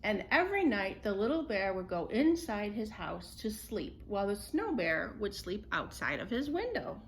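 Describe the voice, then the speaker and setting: A woman storyteller reading a children's picture book aloud in an expressive, unhurried way. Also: mid-range voice, slight background noise, rough recording.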